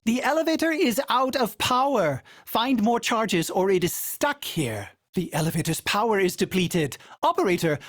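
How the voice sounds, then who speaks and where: A prissy polite ship ai in a game, talks about itself in third person, pitch swoops around, dry close sound. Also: male voice, a bit bright, no echo, heavily acted. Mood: helpful. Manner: prim and formal, speaks of itself in third person. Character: fussy, polite male ship AI. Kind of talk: video game voice lines.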